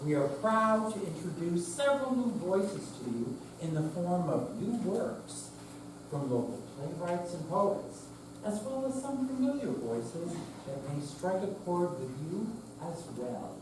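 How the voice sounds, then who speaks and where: Older lady introducing a show from a script, lively pitch, noisy distant echoey sound. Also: measured pace. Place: senior center room, distant mic. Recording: echoey hall from far off, noisy.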